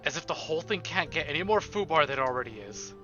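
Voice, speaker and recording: A man streaming a visual novel, reading text aloud and commenting with free swearing in an animated manner. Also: fairly high pitch, fast, highs cut off. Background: game music.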